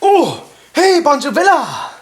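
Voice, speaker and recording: A man voicing an excitable, defiant revolutionary puppet in an amateur puppet show, with melodramatic shouty delivery. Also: very high-pitched, takes it slowly, voice pushed up, full character voice. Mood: eager.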